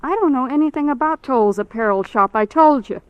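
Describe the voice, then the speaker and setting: A woman's voice: high voice, expressive, fairly quick, clear, resonant tone, no room sound, muffled recording. An actress playing a sullen, evasive female theft suspect in an old radio crime drama, curt and defensive. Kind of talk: mid-century radio crime drama acting.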